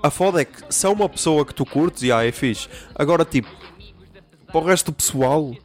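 A man's voice: on the high side, lively intonation, fairly quick, soft background music. A young man with a European Portuguese accent hosting a casual solo podcast, ranting in a profane, slangy way. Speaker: podcast host.

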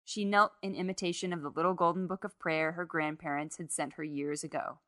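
A woman's voice: sits low, steady tone, fairly quick, a bit bright, no room sound, studio quality. A woman reading a literary short story aloud as scripted narration.

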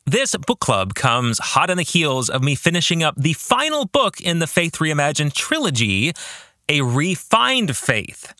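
Bright, animated male podcast host. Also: fairly high pitch, a little slow, dry recording, studio quality. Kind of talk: scripted podcast narration with book-club reflection.

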